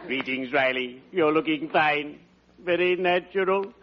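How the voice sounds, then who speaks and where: An actor playing a courtly, friendly undertaker in 1940s radio comedy, solemn and pompously formal with a macabre wit. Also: male voice, fairly high pitch, a little slow, dull audio, a faint crowd, natural voice. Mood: solemnly genial. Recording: noisy old radio recording.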